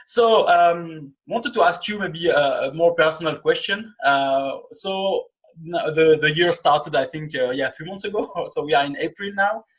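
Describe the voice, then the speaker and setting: Higher-pitched man hosting a podcast, halting phrasing. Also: fairly bright tone, low-quality recording. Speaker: podcast host. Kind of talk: conversational interview. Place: small indoor room, video call.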